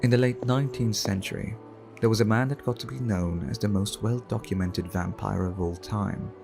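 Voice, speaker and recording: Guy doing a suave brooding vampire for asmr roleplay, gravelly voice, formal and slow, music playing behind. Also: on the low side, fairly even intonation. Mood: measured, intrigued. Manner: slow, formal, theatrical storytelling. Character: suave, seductive male vampire artist, brooding and courteous. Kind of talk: intimate ASMR roleplay addressed to the listener. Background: background music.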